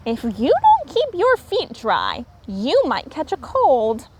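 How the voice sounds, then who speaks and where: A woman as an insistent, high-voiced big-brother raccoon. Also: huge pitch swings, slow pace, slightly rough, heavily acted. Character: bossy, looking-out big brother raccoon in a storybook.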